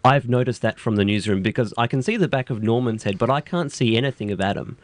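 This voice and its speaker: Man, radio newsroom contributor, medium pitch, comic announcement, dry close sound. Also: quick pace, no echo. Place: radio station.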